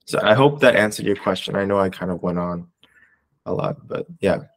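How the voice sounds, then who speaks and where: A man in his twenties with an American accent, answering interview questions casually in a hesitant, halting way with many pauses. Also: low-pitched. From Southern California, United States. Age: 20s. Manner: hesitant, halting, pausing often between short phrases. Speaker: interviewee, community advocate.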